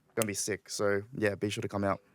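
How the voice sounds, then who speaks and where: Smooth, low-voiced Australian man chatting. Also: monotone, quick pace, dry recording. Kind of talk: casual podcast chat.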